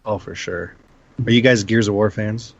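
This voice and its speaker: A man on a casual gaming podcast, telling stories in an animated, self-deprecating way. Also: lowish voice, moderate pace, gravelly, lo-fi sound. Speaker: podcast guest.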